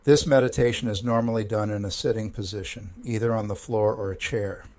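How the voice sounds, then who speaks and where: A man leading a guided meditation as a counselor, speaking in a calm, measured, gentle instructional way with pauses. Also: lowish voice, no room sound, clean studio sound.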